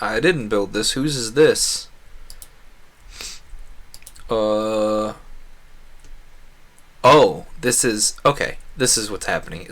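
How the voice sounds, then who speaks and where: A man's voice: on the low side, a bit bright. A man livestreaming a game, chatting casually and thinking aloud in a rambling way. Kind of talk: casual gaming stream chat.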